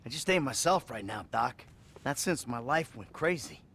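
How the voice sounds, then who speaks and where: Creaky, troubled middle-aged American criminal. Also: male voice, mid-range voice, animated, normal speed, a bit bright, a little hiss or hum. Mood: self-loathing. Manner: hesitant, sighing, sardonic, self-loathing. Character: troubled middle-aged male criminal confessing to his therapist. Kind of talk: naturalistic video game acting.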